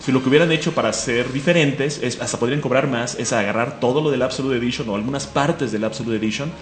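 A man's voice: medium pitch, reverberant, faint music behind. A man with a Mexican accent hosting a comic radio show, joking and enthusiastic. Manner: fast, joking, enthusiastic, laughs often. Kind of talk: casual radio chat.

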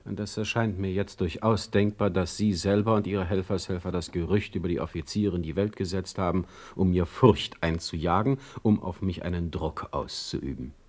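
A man's voice: sits low, normal speed, hardly any pauses, darkish tone. An actor playing a proud, sarcastic male poet in a radio drama, eloquent and formally polite with a sardonic edge. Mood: suspicious. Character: Heinrich Heine, proud sarcastic male poet under challenge.

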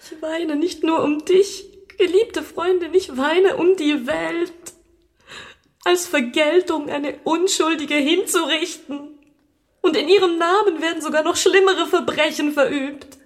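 A gentle young lady in a radio play, high in pitch and distraught. She speaks earnestly, with impassioned grief for a condemned friend. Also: steady tone, a little slow. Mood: grieving. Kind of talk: German radio drama acting.

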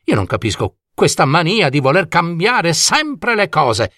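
A man performs an eccentric old vagabond from a storybook, kindly and talkative. His voice leaps around in big swoops and often climbs high. He rambles theatrically, full of exclamations and rhetorical questions. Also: sing-song, unhurried, dry recording, clean studio sound, exaggerated voice. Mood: indignant. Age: older. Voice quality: warm voice. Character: old vagabond dream-carriage driver, kindly, chatty, eccentric.

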